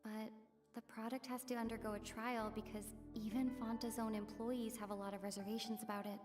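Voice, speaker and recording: A woman's voice: fairly high pitch, little pitch movement, brisk, long reverb tail, far from the mic, over music, close to an everyday voice. A woman playing an earnest young investigator in a video game, reciting clues matter-of-factly.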